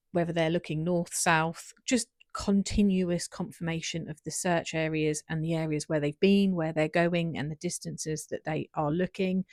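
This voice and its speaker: A woman hosting a true crime show, calmly summarizing police radio audio. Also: sits low, dry recording, studio quality. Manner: measured, summarizing. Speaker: true crime podcast host. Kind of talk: commentary on archive police audio.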